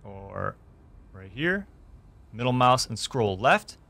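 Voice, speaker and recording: A young man hosting a gaming tutorial, explaining things clearly step by step from his streaming room. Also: medium pitch, very animated, slow pace, pushed and bright. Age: young adult. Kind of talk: tutorial narration. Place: small indoor room streaming setup.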